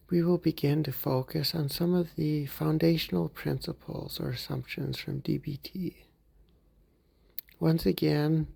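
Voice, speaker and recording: A man leading a guided mindfulness meditation for a therapy group, speaking calmly and gently and sometimes dropping into soft whispers. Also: on the high side, creaky, slightly rough. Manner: calm, gentle, unhurried, slips into soft whispers.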